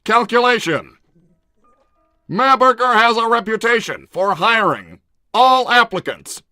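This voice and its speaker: A war-hungry cartoon robot states its plans in a high, piercing voice. It is stiff and formal, coldly deliberate and free of emotion. The recording is dry and close. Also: male voice, expressive, sharp tone, no echo, faint music behind, exaggerated voice. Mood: analytical. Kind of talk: improvised in-character conversation.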